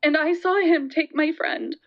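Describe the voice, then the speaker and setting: A woman playing a guilt-ridden young caller to a radio show, telling her story in a halting, earnest, emphatic way on a dull line. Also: high voice, steady tone. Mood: insistent, distressed. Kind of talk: dramatic confessional storytelling in an audio drama.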